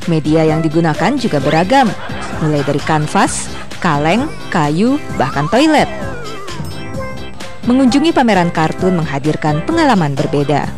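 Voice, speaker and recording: A woman's voice: low-pitched, sing-song, normal speed. A woman reading a scripted news voice-over as a reporter. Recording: music bed under voice.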